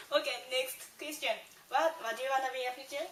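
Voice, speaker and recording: A woman's voice: high voice, fairly even intonation, a little fast, a little hiss or hum. A woman interviewing a young man, reading short questions and murmuring brief acknowledgements. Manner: brief, soft backchannel murmurs between questions. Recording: roomy, distant mic.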